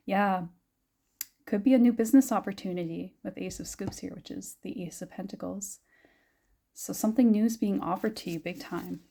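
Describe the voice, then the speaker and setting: Young woman doing a tarot reading, kinda raspy today, mellow soft tone, laughs a bit. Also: medium pitch, a little hiss or hum. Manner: gentle, musing, frequent small laughs. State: slightly raspy, scratchy voice. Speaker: tarot reader.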